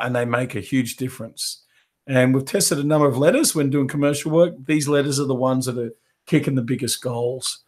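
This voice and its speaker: A man with a head cold who is losing his voice, teaching a live webinar for business owners. He coughs and clears his throat often, and acts out sales pitches as quoted dialogue. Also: a little mellow. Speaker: business coach.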